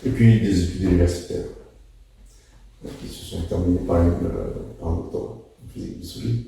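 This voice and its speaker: A man in his sixties from Morocco answers interview questions reflectively, in a halting, deliberate way, repeating words for emphasis. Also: low-pitched, fairly even intonation, gravelly, warm tone. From Morocco, moved to France at thirteen. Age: 60s. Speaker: interview guest, physics teacher. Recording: very echoey room, distant mic.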